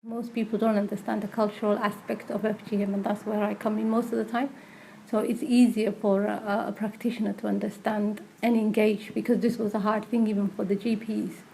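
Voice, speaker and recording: A woman's voice: medium pitch, flat delivery, fairly quick, creaky, dark tone, a little hiss or hum, low-quality recording. A woman who works as a community support worker, speaking hesitantly with frequent fillers and restarts in a recorded interview.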